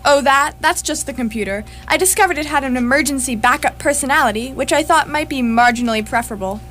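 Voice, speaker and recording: A woman's voice: sits high, quick pace, a bit bright, a little hiss or hum. A woman playing a brash, impatient and flippant space adventurer in charge, curt and casual, in live radio theatre.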